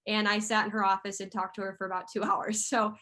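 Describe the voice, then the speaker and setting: A young woman in her twenties talks over a video call, hosting an online class for women. She is chatty and earnest, filling her sentences with ums and likes, in an even middle register. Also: medium pitch, fast. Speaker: host, community founder. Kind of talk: casual video call talk.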